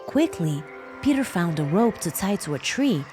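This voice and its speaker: A woman narrates a children's bedtime tale, speaking directly and warmly to young listeners. Her pitch rises and falls in an animated, expressive way. Background music accompanies her throughout. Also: normal speed. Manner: warm, animated storytelling addressing children. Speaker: storyteller.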